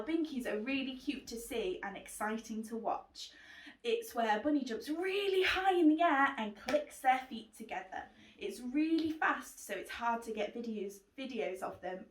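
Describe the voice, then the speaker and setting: Echoey, higher-voiced woman presenting to children. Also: recorded from a distance. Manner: simple, encouraging talk addressed to children. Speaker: host of children's book club. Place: living room indoors. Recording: roomy echo, away from mic.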